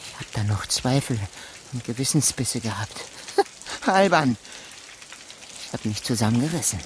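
An actor playing a creepy, self-justifying gamekeeper and killer in a radio drama, halting and lingering on words. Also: male voice, medium pitch, lively intonation, slight background noise, natural voice. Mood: obsessive, unrepentant.

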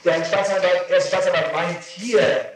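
A man's voice: sits high, steady tone, measured pace. A man speaking urgently during an interrogation, repeating himself and interjecting, on an old archival tape. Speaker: participant in a police questioning. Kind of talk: interrogation conversation. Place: echoey room, recorded from far off. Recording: distant, echoey, noisy archival tape.

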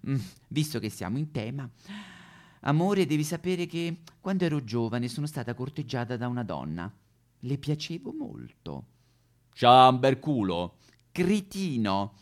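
A man's voice: mid-range voice, big pitch swings, measured pace. A man voicing a quarrelling married couple in a theatrical comic sketch, indignant and declamatory. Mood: indignant, dramatic. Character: quarrelling married couple, indignant wife and boastful husband Gualtiero.

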